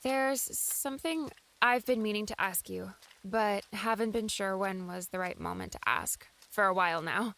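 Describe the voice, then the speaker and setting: Casting: concerned young female sidekick played by a woman, medium pitch, tentative and gentle, audiobook adventure. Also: animated, moderate pace, hardly any pauses. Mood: gently curious.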